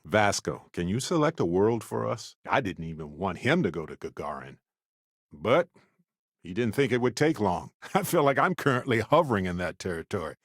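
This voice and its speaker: A man playing a friendly, wry, quick-witted space explorer companion in a video game, with a playful and expressive delivery. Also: on the low side, husky, no room sound, studio quality, exaggerated voice. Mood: friendly, casual, then sombre, earnest. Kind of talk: acted video game dialogue lines.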